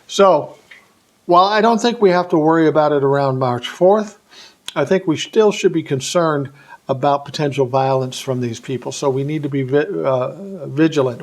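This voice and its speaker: An American man delivering an opinionated political monologue in blunt, colloquial terms, posing rhetorical questions and answering them himself. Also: mid-range voice. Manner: blunt, colloquial, emphatic phrasing. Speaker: political commentary vlogger.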